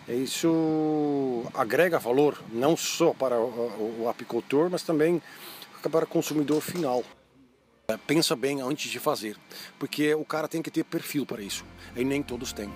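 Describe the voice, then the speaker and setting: A middle-aged man explaining in an interview, haltingly, often searching for words. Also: medium pitch, a little mellow. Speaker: seminar speaker being interviewed. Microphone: phone held by interviewer.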